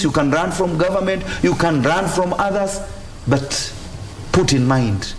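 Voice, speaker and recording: A man's voice: fairly high pitch, roomy sound, a little hiss or hum. A man with a Kenyan accent, a religious leader giving impassioned, repetitive, exhorting answers in a TV interview. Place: TV studio. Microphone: lapel mic.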